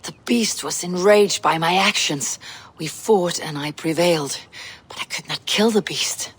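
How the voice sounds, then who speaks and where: An actress playing a stoic, battle-worn Viking warrior in a video game, telling a tale in a grave, measured way. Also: female voice, lowish voice, slightly rough. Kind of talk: dramatic game dialogue, recounting a tale.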